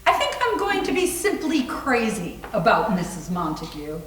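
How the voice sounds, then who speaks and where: Flippant, wry young woman played by a female reader, very expressive pitch, echoey stage reading. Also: normal speed. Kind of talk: readers theater acting. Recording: echoey room, distant mic.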